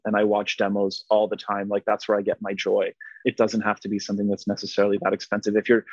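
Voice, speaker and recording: A man's voice: on the low side, no room sound. A man whose first language is Polish, talking earnestly in a casual interview, rambling with many fillers and restarts. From United States. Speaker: guest. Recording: dull lo-fi sound.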